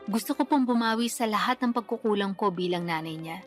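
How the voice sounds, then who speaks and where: A woman's voice: mid-range voice, a little fast, faint music behind, natural voice. A young woman playing a daughter and young mother in a radio drama, polite, earnest and pleading. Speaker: daughter character.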